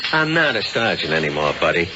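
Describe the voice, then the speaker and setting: An actor in an American radio drama playing a genial, wisecracking city police lieutenant. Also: male voice, deep voice, big pitch swings, brisk. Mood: dry, wry. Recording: dull vintage radio recording, music.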